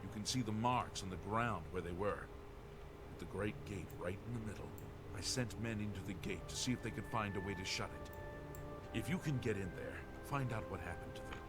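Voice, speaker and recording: A man's voice: on the low side, moderate pace, close to an everyday voice. A man playing a grim guard captain. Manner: gruff, urgent and despairing. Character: grim male guard captain defending a burning city. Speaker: soldier commander. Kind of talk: video game voice acting. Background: game music.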